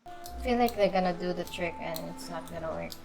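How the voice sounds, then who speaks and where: A woman's voice: on the low side, a little fast. A woman streaming a video game, musing casually and hesitantly as she reacts to the story. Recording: game audio and music behind.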